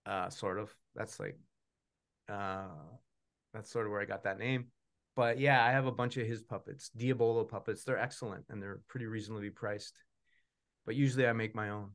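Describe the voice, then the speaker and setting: A man's voice: lowish voice, steady tone, normal speed. A middle-aged man answering questions on a video call, chatting casually in a rambling, self-effacing way. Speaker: puppeteer answering audience questions. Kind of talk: casual chat. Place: indoor room at home, video call.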